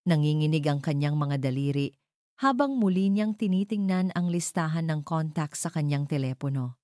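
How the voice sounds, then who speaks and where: A woman's voice: sits low, animated, normal speed, warm tone, dry recording, clean studio sound. A woman reading scripted narration for a dramatic love story.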